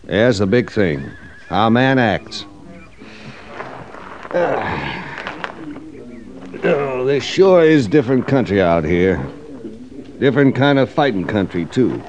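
An actor playing a talkative, brooding cavalry veteran in an old radio western, speaking in a folksy American drawl. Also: male voice, mid-range voice, very animated, slow pace, long pauses, lo-fi sound, noisy background. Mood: reflective. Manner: folksy drawling frontier soldier speech.

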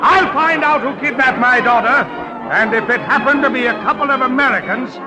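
An actor playing a pompous, excitable Dutch town burgomaster and indignant father in an old radio operetta. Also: extremely high pitch, a little fast, a loud crowd, rough recording. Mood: alarmed, indignant. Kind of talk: 1940s-50s radio operetta acting. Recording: old radio broadcast with music.

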